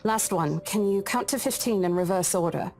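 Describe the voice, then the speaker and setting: A woman's voice: mid-range voice, normal speed, close to an everyday voice. An actress voicing a worried but composed young woman in a visual novel game. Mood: composed, checking. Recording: loud game music over the voice. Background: loud game music.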